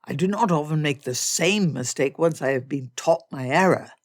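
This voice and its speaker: Casting: woman narrator as proud young gentleman suitor, lowish register, courtly and measured, teasing yet earnest, period romance audiobook, close dry sound. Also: dry recording. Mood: earnest, solemn. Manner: formal, measured, courtly phrasing.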